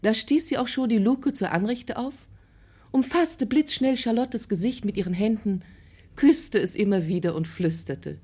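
A woman's voice: on the high side, normal speed, warm tone, slightly rough. A woman reading scripted literary narration as the narrator of an audio drama.

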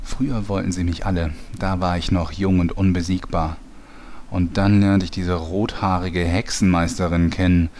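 Man acting a vain storyteller, deep voice, grandiose, petulant, comic fantasy audio drama, some hiss. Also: little pitch movement, normal speed, darkish tone. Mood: boastful nostalgia. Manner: pompous, self-important. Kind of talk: German parody audio play, dramatic narration.

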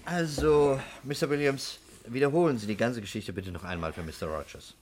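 A male actor plays a shrewd investigator in a sixties radio thriller. He has a medium-pitched voice and stays composed. His questioning is methodical, courteous and insistent. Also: fairly quick, noisy background, close to an everyday voice. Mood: firm, reassuring. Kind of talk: 1960s German radio crime drama acting.

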